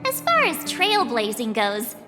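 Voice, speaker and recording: A woman's voice: fairly high pitch, huge pitch swings, measured pace, long reverb tail, over music. An actress playing a bubbly, cheeky teenage adventurer girl in a video game, with a breezy, slangy, dramatic delivery. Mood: playful, excited. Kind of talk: English video game voice acting.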